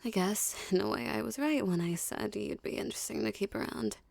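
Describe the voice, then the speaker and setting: A woman playing a dominant, seductive mafia boss in an ASMR roleplay, with a soft, teasing, condescending drawl and menacing self-assurance. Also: medium pitch, brisk, vocal fry, no room sound. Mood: cool, threatening.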